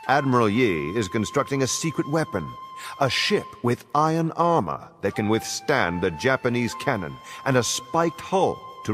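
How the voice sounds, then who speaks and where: A man giving a dramatic scripted historical narration for a game cutscene, in a measured, formal storytelling manner. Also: mid-range voice. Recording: music behind the narration. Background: background music.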